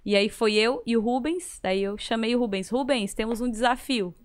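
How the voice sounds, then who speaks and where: Casting: woman, higher-pitched voice, Santa Catarina accent, casual anecdotal chat with bits of re-enacted dialogue. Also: moderate pace. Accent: southern Brazilian, Santa Catarina. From southern Brazil. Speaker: guest, singer. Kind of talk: casual conversational storytelling.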